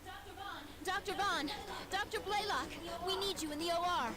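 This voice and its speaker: An actress voicing a young female hospital nurse in a video game, giving brisk briefings. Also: very high voice. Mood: urgent. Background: game music.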